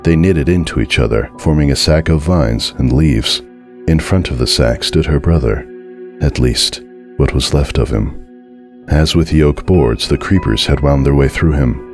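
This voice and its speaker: A man giving a dramatic, scripted narration of a horror story in a measured, deliberate and suspenseful way. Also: a bass voice, faint music behind.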